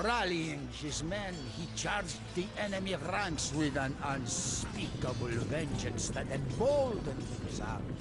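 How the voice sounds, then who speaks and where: An actor playing a solemn storyteller who recounts a medieval warlord's tale in dramatic game cutscene narration. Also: male voice, medium pitch. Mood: dramatic, intense. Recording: music behind the voice.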